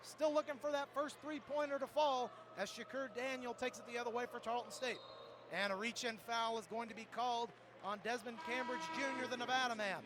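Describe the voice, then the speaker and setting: A man's voice: extremely high pitch, fairly even intonation, a little fast. A man calling a game live as a radio play-by-play announcer. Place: indoor sports arena. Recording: noisy live sports arena broadcast.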